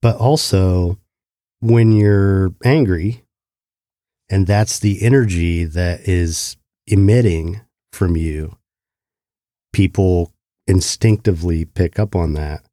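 A man hosting an interview, asking calm, thoughtful questions. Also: low voice, slow, dry recording, studio quality. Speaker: podcast host.

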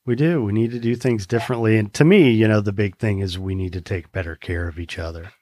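A man's voice: lowish voice, fast, mellow, dry recording. An American man talking earnestly in a casual conversation, rambling a little with restarts. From United States.

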